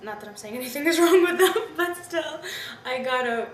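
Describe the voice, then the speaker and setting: A woman's voice: high voice, animated, normal speed. Woman in her twenties, distant and roomy. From Pakistan. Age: 20s. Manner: candid, reflective, many fillers like "you know" and "I mean". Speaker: interviewee. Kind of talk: personal interview recollection. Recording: roomy, distant mic, background noise.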